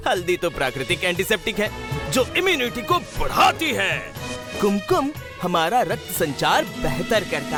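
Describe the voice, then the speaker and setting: A woman voicing a cheerful, wise and playful magical stone who teaches children in an educational cartoon, encouraging and exclamatory. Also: lowish voice. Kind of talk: animated educational cartoon dialogue.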